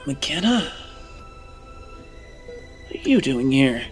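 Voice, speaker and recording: A man playing a weak, confused male captive in a tabletop game, asking halting, bewildered questions. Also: mid-range voice, sing-song, faint music behind. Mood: groggy, confused. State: coughing, groggy.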